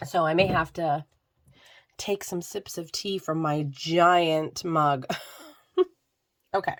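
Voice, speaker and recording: A young woman, slightly hoarse from a lingering cold, gives a casual tarot reading straight to the viewer, wandering through her thoughts with lots of fillers. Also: low-pitched, fairly even intonation, slow. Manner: meandering, many fillers, addresses viewer directly. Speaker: tarot reader.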